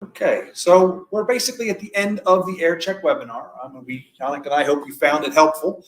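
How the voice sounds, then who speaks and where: A man's voice: a little high, steady tone, a little fast, poor audio. Man presenting a conversational technical webinar. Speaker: webinar presenter, product expert.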